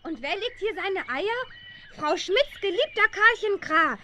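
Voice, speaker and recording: Bold, curious young girl sleuth, high and excitable, 1970s children's radio play. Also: unhurried, slight background noise, full character voice. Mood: excited.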